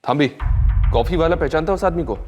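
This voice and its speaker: An actor playing a dutiful police inspector who reports in brisk, businesslike tones. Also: male voice, fairly high pitch, faint music behind, close to an everyday voice. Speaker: police officer.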